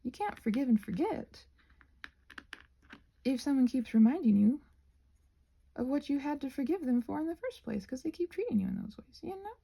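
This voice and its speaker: A woman rambling casually in an ASMR style while crafting, musing aloud and gently reassuring, her soft breathy voice often slipping into a whisper. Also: high-pitched, animated, normal speed, long pauses, low-quality recording.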